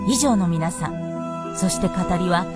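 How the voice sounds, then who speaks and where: A woman's voice: fairly low pitch, animated, a little slow. A woman reading scripted narration for a radio drama, with music behind her.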